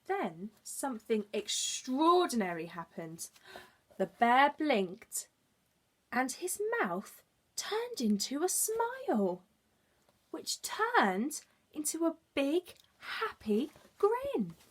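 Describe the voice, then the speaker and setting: A woman's voice: high-pitched, big pitch swings, slow, a bit bright, slightly rough. A woman teacher reading a children's storybook expressively, adding explanatory asides for young listeners. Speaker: early years teacher reading aloud.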